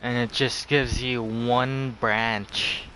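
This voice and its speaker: A man's voice: medium pitch, level tone, drawn-out words, pushed and bright, a little hiss or hum, rough recording. A man giving casual, offhand commentary as he plays a video game. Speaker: gameplay streamer.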